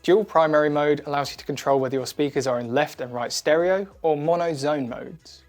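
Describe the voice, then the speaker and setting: Higher-voiced man narrating a product demo over music. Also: a little fast. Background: background music.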